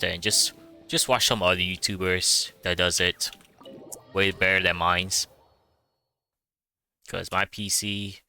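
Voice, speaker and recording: Guy streaming a game, super bright sharp voice kinda low, rambly casual commentary, game music underneath. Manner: rambling, repeats himself, swears.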